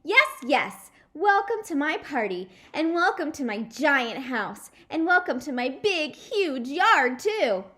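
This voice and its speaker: A woman's voice: high voice, sing-song, takes it slowly, few pauses, bright, forceful tone, pitched above the performer's own voice, exaggerated voice. A woman voicing a showy, self-important, bossy rich little girl in a children's storybook. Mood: boastful.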